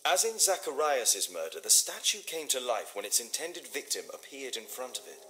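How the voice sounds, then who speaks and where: A male master detective of Victorian times for a mystery game. He is composed and clipped, questioning coolly with a very mobile pitch. There is clear sizzling noise behind the voice. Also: sing-song, moderate pace, sharp tone, poor audio. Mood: probing. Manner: clipped, formal, coolly inquisitive. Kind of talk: video game dramatic acting.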